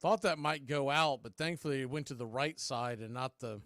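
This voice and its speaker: A man's voice: mid-range voice, normal speed, fairly bright tone, dry recording, studio quality. A man giving live baseball play-by-play commentary as the announcer.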